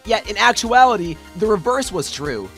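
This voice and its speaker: A man's voice: high-pitched, sing-song, normal speed, fairly bright tone, soft background music, full character voice. A man playing an earnest, determined young defense attorney, arguing dramatically in court in an amateur game fandub. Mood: pointed, confident. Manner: declamatory courtroom argument.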